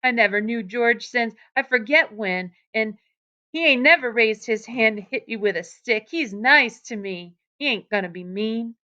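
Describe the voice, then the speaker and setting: A woman's voice: a little high, a little slow, a bit bright, muffled recording, voice pushed up. A woman doing a pleading childlike giant of a man. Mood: protesting. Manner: rural drawl, whiny and pleading.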